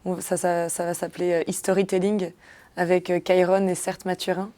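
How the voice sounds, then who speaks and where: Chatty young woman, lowish voice. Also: little pitch movement, normal speed, warm tone. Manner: chatty, hesitant, many fillers and restarts. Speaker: comedian, interview guest. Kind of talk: casual interview chat.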